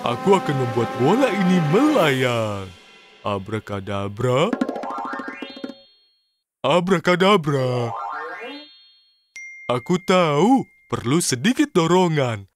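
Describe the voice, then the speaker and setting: A man voicing an excitable, good-natured cartoon cook in a children's show, with exaggerated, drawn-out exclamations. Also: fairly high pitch, music in the background. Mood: showy.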